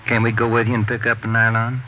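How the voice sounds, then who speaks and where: A muffled vintage radio recording with faint hiss carries a man playing an easygoing detective's sidekick. His voice sits at a medium pitch. He sounds casual and folksy. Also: completely flat delivery, fast talker, close to an everyday voice. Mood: coaxing. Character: easygoing male plainclothes police partner posing as buyer. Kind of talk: 1950s radio drama acting.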